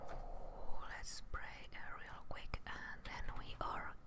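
Whispering young woman doing ASMR game commentary. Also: slow pace. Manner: soft breathy whispering, casual rambling. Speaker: video game streamer, ASMR host. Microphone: close microphone, headphones on.

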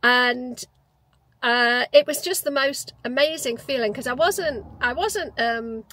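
A woman's voice: high voice, slow pace, bright, forceful tone, low-quality recording. A Geordie woman in her fifties telling a personal story as a vlogger, chatty and reflective, recorded in a parked car on a lapel mic. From North East England. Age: 50s. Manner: chatty, reflective, with frequent restarts.

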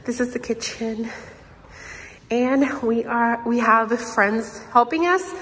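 A young woman from Puerto Rico, gushing with excitement as she films a tour of her new empty house on a handheld phone. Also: fairly high pitch, takes it slowly. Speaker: vlogger. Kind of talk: home tour vlog. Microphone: handheld phone camera.

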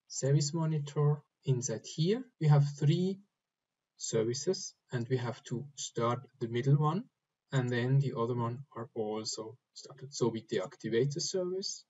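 A man giving step-by-step instructions for a software screen recording, speaking in short, halting phrases. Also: mid-range voice, breathy edge, dull audio. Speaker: software tutorial presenter. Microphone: voice-over recorded over screen capture.

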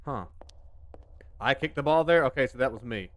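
Man in his 30s, game streamer, smooth voice that swings up and down, rambling jokes on a headset. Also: medium pitch, lively intonation, moderate pace, fairly bright tone, no echo. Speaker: gaming streamer. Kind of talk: casual live commentary while playing. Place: home desk indoors. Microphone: headset mic.

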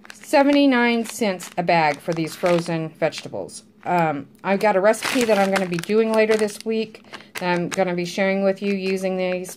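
A middle-aged American woman chatting casually and informally, like a home vlogger talking viewers through her shopping. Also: medium pitch. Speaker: vlogger showing a grocery haul. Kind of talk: casual chat to camera. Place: indoors at home, at a table. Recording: clear background noise, crinkling packaging. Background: crinkling bags and handling clicks.

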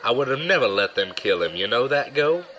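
An actor playing a glib, self-serving young rogue and old pal in an audio drama, casual in manner. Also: male voice, medium pitch, big pitch swings, a little fast, fairly bright tone. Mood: defensive.

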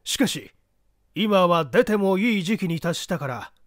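A man voicing a boastful, nonchalant, smooth-talking gentleman thief in an audiobook. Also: high-pitched, lively intonation, unhurried, no room sound, clean studio sound.

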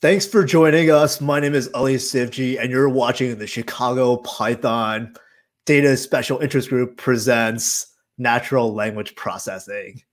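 A man in his thirties hosts a casual livestream interview, speaking at a middling pitch. He talks from a desk at home over a video call. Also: normal speed. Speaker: host. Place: room at home, indoors.

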